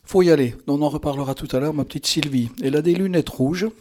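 A man's voice: on the high side, quick pace, warm tone. Playful, theatrical male radio host. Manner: playful, teasing, flowery compliments. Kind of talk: chatty, improvised radio interview.

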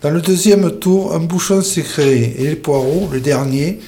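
A man's voice: fairly high pitch, normal speed, warm tone, a little hiss or hum. A man reading a local sports report in a plain way, with small slips and restarts.

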